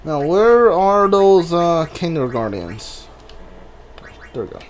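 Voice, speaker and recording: A man with a fairly high voice gives casual video game commentary, thinking aloud in short fragments. His pitch moves around in a lively way. There is some background noise in the recording. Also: takes it slowly. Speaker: video game streamer playing a blind playthrough.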